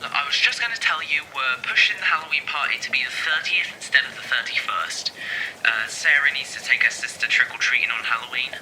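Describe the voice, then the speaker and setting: A man's voice: medium pitch, brisk, background noise. A man playing a casual young student friend in an audio drama, heard through a telephone.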